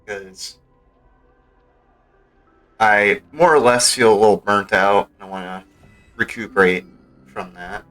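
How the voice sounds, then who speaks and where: A man livestreams a game in a fairly low but bright, forward voice. He talks casually, rambling and hesitating as he goes. Soft music plays faintly behind him. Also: takes it slowly, pauses often. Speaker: streamer. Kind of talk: casual livestream gaming chat.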